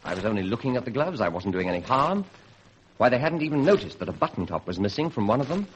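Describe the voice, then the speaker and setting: A man playing an eager, impulsive young police constable in an earnest, excitable vintage radio drama style. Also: mid-range voice, fast talker, a little hiss or hum, natural voice. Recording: muffled old radio drama recording.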